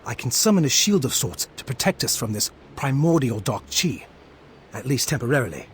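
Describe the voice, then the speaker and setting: An actor playing a learned, courteous mystic ally in a fantasy game, with a formal, bookish way of speaking. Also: male voice, medium pitch, big pitch swings, a little fast, a little hiss or hum, close to an everyday voice. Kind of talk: scripted fantasy game dialogue.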